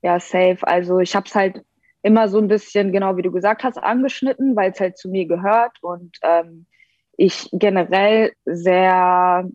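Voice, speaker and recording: A woman's voice: fairly low pitch, fairly even intonation, a little slow, darkish tone, no room sound. A young woman from Berlin, a rapper, joining an interview by video call and answering thoughtfully with many hesitations. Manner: thoughtful, many ähm fillers. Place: joining remotely. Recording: dull lo-fi video call audio.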